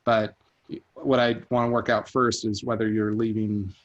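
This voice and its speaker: Flat-voiced man on a group call. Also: lowish voice, moderate pace, dull audio. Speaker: vacation rental host. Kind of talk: casual group call chat. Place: online group video call.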